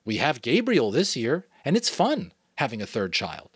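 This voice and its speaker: A man's voice: mid-range voice, wildly swooping pitch, moderate pace, husky, no echo, studio quality. A man voicing a lonely, troubled young boy who asks earnest questions.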